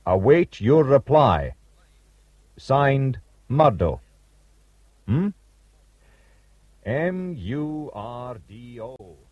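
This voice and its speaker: Careful caller dictating an urgent message, slow and deliberate, muffled dry line. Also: male voice, mid-range voice, very animated, darkish tone, dry recording, full character voice. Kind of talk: radio drama acting.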